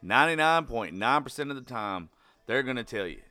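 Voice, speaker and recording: A man talks bluntly in a casual opinion chat, swearing heavily. His voice is bright and forceful. The sound is dry, with no room echo. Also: mid-range voice, animated, fairly quick.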